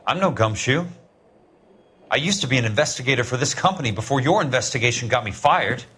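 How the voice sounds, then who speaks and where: Actor as a blunt, hard-boiled investigator, lively pitch. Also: male voice, fast, slight background noise, lightly acted. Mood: angry, confrontational. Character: blunt, tough, world-weary male insurance investigator, ex-Marine. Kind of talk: 1940s detective game dialogue, naturalistic acting.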